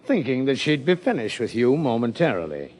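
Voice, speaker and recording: A man's voice: medium pitch, very animated, brisk, darkish tone. An American man playing a glib, slyly mocking small-town plant manager in 1950s radio drama, with florid, theatrical phrasing. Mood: glib, amused.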